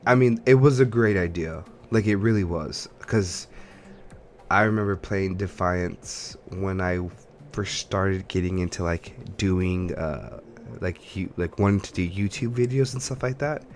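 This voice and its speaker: Male podcast co-host, lowish register, loose rambling conversational delivery, slight background noise. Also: unhurried. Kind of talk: casual chat about games and films.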